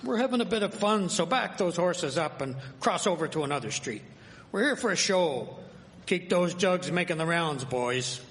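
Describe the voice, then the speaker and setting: A man's voice: high-pitched, animated, normal speed, fairly bright tone. A man acting a boisterous, blunt, folksy townsman who hawks bets and drink in a live radio drama. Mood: rowdy, defiant. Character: rowdy brash townsman hawking street-fight gambling and drink. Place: performing arts centre stage. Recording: long hall reverb of live stage.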